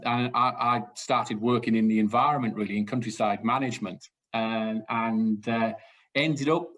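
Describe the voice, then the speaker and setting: A man's voice: mid-range voice, fairly bright tone. A man, chatting as a guest in an interview, hesitant with many fillers and restarts. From South Wales. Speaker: retiring assistant director, guest. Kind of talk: casual interview chat.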